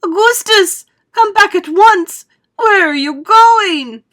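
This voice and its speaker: A woman's voice: very high-pitched, lively intonation, slow, a bit bright, voice pushed up, full character voice. A woman voicing a frantic, bossy mother in a storybook reading, screaming her urgent commands. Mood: panicked screaming.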